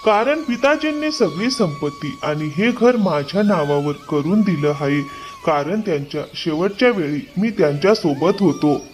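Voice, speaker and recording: A man as greedy elder brother, cartoon, high animated voice, commanding, deceitful, music behind. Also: normal speed. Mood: cold, commanding. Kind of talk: dramatised cartoon moral tale.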